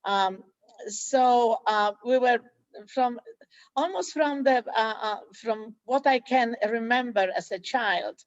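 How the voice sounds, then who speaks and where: A woman's voice: a little high, slow pace, no echo. Hesitant Polish woman, muffled dry voice. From Poland. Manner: hesitant, many fillers and restarts. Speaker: school director, guest. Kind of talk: interview conversation.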